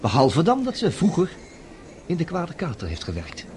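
An actor playing a brisk, inquisitive investigator in a Dutch radio play, eager and probing in conversation. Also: male voice, on the high side, huge pitch swings, a little mellow. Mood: eager, curious. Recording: radio play with noisy background ambience.

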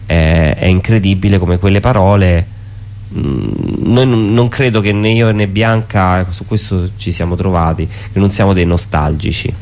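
A man talking reflectively as a guest in a radio interview, haltingly searching for words. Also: low voice, fairly even intonation, darkish tone. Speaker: theatre performer and guest.

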